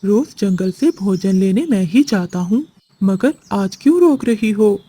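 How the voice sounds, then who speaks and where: A woman voicing a caring, gentle young husband sparrow in a children's cartoon. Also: mid-range voice, animated, unhurried, slightly rough. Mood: reassuring.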